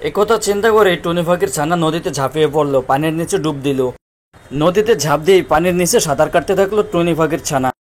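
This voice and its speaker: Male narrator, slightly high voice, scripted children's story, quick pace, faint background noise.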